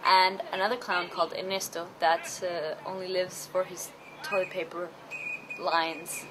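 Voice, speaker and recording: A woman from Chile, a theatre artist, talking in an earnest, thoughtful interview with many pauses. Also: fairly low pitch, little pitch movement, noisy background. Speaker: theatre artist interviewed about her play. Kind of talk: reflective personal interview.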